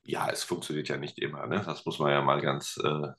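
A man's voice: extremely low pitch, flat delivery, fairly quick, fairly bright tone. A middle-aged man chatting in an interview, rambling with lots of fillers and self-deprecating humor. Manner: rambling, hesitant, many fillers. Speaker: guest, teacher and musician. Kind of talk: casual interview chat.

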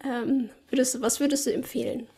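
A woman's voice: sits high, fairly even intonation, a little fast, mellow, slight background noise. A woman hosting a podcast, asking friendly, encouraging questions in casual conversation. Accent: German. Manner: friendly, encouraging, laughs often. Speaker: podcast host. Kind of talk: casual interview talk.

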